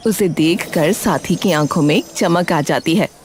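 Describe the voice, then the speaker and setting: A woman's voice: fairly low pitch, measured pace, darkish tone, soft background music. A woman narrating a scripted cartoon story.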